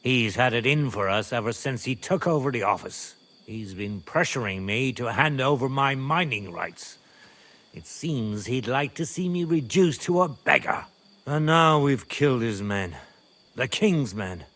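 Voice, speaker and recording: An actor playing a middle-aged medieval nobleman and father, speaking in a measured, wry and wary manner for a video game. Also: medium pitch, lively intonation, fairly bright tone. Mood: grim, confiding. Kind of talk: video game cutscene acting.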